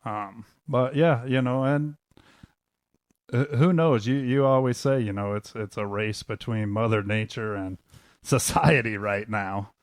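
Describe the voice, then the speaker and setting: A man speaking as a podcast guest in casual conversation, hesitant and pausing between short phrases. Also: medium pitch, no echo, studio quality.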